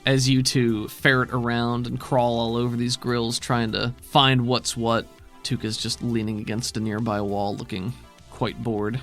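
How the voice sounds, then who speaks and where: A man's voice: medium pitch, little pitch movement, measured pace, fairly bright tone, faint music behind. A man narrating a tabletop game as game master in a casual style.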